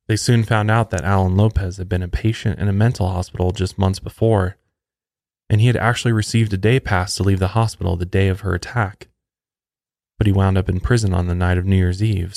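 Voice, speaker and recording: Guy narrating a true crime script, lower voice w some gravel, really dry recording. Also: darkish tone, dry recording. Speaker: true crime podcast host.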